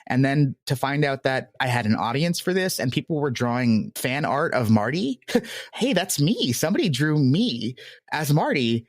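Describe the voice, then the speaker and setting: A man's voice: medium pitch, big pitch swings, moderate pace, no echo, studio quality. A man giving casual, rambling, self-deprecating answers as an interview guest. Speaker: podcast creator being interviewed.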